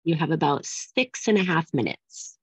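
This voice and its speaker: A woman's voice: deep voice, animated, normal speed, husky, no room sound. A woman presenter chatting casually with colleagues on a video call. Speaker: conference presenter. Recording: dull video-call audio.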